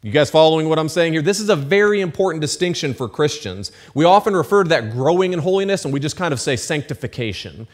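A man in his thirties, a pastor giving a sermon from a church pulpit. He explains the text in a relaxed, conversational way, with asides and quick checks that the congregation is following. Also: on the high side. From United States. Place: church stage with pulpit, indoors. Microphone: lectern mic at pulpit.

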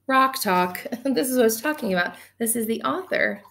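A woman in her thirties, a teacher reading a picture book aloud to children in an animated, gushing way, recorded on a webcam in a small room at home. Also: on the high side. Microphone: webcam at desk distance.

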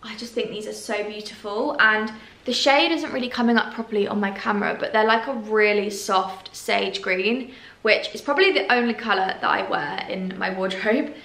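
Young British woman, upbeat fashion vlogger, gushing delivery, crisp bright tone, echoey room. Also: medium pitch, normal speed. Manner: gushing and enthusiastic. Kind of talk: casual clothing haul to camera.

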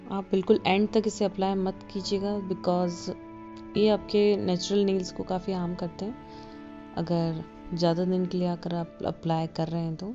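A woman giving a relaxed, casual voice-over for a nail tutorial, slipping English phrases into her talk. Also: fairly low pitch, moderate pace. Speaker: beauty vlogger. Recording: dull lo-fi voice-over with faint music.

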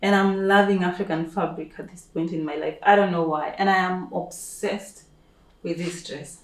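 A woman's voice: lowish voice, fairly even intonation, moderate pace. Gushing young Kenyan woman in an echoey room. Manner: playful, gushing, self-hyping. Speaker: vlogger. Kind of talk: casual chat to camera. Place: living room indoors. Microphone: camera mic at a distance.

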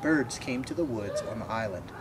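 A man's voice: medium pitch, expressive, normal speed, background noise. A man in his twenties reading a children's picture book aloud. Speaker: storybook reader. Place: outdoors by a wooden fence.

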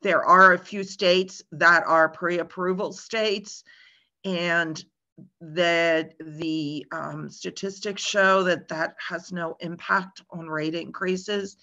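A middle-aged woman answering a question on a video call from a room at home, measured and informative with hesitant ums. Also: on the low side, fairly even intonation, sharp tone. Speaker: insurance regulator answering a question. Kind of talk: webinar Q&A answer. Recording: dull video call audio.

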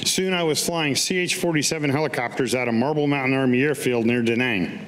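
A man's voice: mid-range voice, brisk, a bit bright. Older American man reading in echoey hall. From United States. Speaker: veteran reading his own written piece. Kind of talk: staged reading of autobiographical writing. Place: public meeting room, at a podium. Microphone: podium gooseneck microphone. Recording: echoey hall with background noise.

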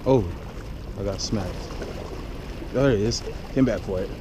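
A man's voice: lowish voice, unhurried. A man casually narrating his fishing from a rocky harbor shore, muttering to himself as he reels in fish, recorded on a head-mounted camera. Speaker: fishing vlogger. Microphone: head-mounted action camera. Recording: outdoor wind and water noise.

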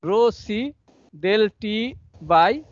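A high-voiced man with an Indian accent gives a technical lecture over an online session. He works through equations haltingly, repeating his phrases as he goes. The sound is dry and somewhat dull and lo-fi. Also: lively intonation, no echo. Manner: repetitive, halting step-by-step explanation. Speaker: engineering professor. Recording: dull lo-fi online call audio.

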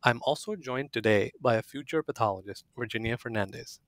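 A man's voice: mid-range voice, fairly quick, a bit bright, no room sound. A man in his thirties hosting a podcast, giving a formal introduction and asking questions over a headset on a video call. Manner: formal, reads an intro smoothly. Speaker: podcast host, pathology fellow. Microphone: headset mic on a video call.

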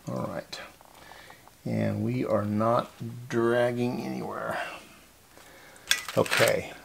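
A man's voice: lowish voice, creaky. An older man giving casual repair commentary at a workbench, folksy and muttering to himself as he works, with faint noise and clicks in the recording. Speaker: hobbyist repair vlogger. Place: cluttered indoor workbench.

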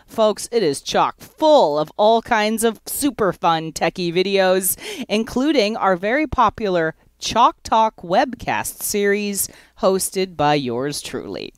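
A woman podcast host talks in an upbeat, playful way, her pitch bouncing up and down. The sound is dry and close, with no room around it. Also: no echo. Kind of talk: podcast hosting and outro.

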